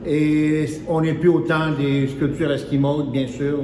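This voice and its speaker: A man's voice: on the high side, flat delivery, measured pace, noisy background. Man in an echoey hall. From Quebec, Canada. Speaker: interviewee. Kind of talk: answering interview questions.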